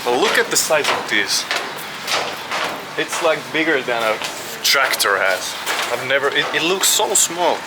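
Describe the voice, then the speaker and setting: A man's voice: medium pitch, expressive, unhurried. A man with a Finnish accent chatting casually and bluntly as a vlogger, swearing freely in an informal way. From Finland. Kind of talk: casual chat to camera. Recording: clear background noise, traffic and ambience.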